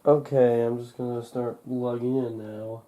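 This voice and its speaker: A young man recovering from a cold, narrating a casual unboxing in a halting, unscripted way, thinking aloud as he goes. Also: medium pitch, level tone, dark tone, slight background noise. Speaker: hobbyist vlogger. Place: indoors at home.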